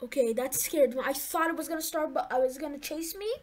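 A woman's voice: sits high, quick pace, a little hiss or hum. A woman giving nervous, jumpy commentary to her viewers while playing a horror game. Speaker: gaming YouTuber.

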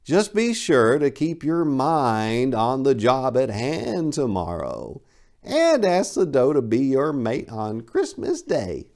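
A man's voice: medium pitch, wildly swooping pitch, slow, darkish tone, heavily acted. A man voicing a proud older reindeer father, indulgent and coaxing, in a story. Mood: advising.

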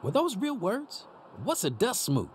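A man's voice: high voice, huge pitch swings, unhurried, heavily acted. A man playing a skeptical, foul-mouthed gang boss in a video game, blunt and sarcastic. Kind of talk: video game character dialogue. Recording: game audio with loud car noise.

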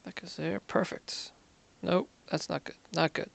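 Gravelly man muttering over gameplay. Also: high-pitched, steady tone, unhurried. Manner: muttering to himself, counting under breath, half-whispered asides. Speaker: gaming video creator. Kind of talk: casual gameplay commentary.

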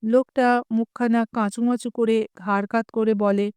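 Slow, clear Bengali woman storyteller. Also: a little high, no echo. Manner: slow, clear, expressive reading. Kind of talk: scripted story narration.

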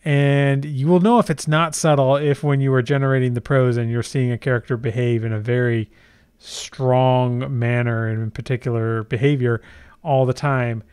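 A middle-aged man with a smooth, clear voice at an ordinary male pitch. He talks through a software tutorial in a casual, explanatory way, thinking aloud as he works. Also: little pitch movement, moderate pace. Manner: conversational, explanatory, thinking aloud while typing. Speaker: tutorial host.

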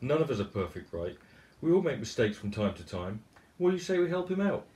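A man voicing a calm, kindly male moose who talks in a gentle, reasonable, reassuring way. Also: mid-range voice, a little fast, echoey room, voice pushed up, natural voice.

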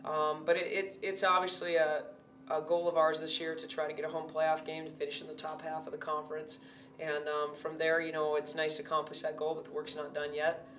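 A woman in her forties, a head basketball coach, giving a steady, measured post-game interview with many fillers. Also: low-pitched. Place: indoor press backdrop. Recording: roomy, distant, faint hum.

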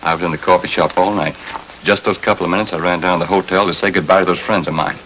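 Man playing nervous American crime suspect, deep voice, pleading, defensive, insistent, 1950s TV drama, muffled sound. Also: fairly even intonation, fast talker, slight background noise, natural voice. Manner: insistent, defensive, plain-spoken. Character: nervous, pleading male hit-and-run suspect.

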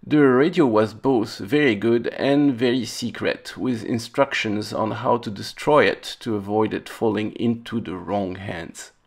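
A man's voice: on the low side, measured pace, gravelly, hardly any pauses. A man hosting a hobby electronics video, narrating a demonstration casually with playful, wry humor. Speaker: host of electronics restoration video channel.

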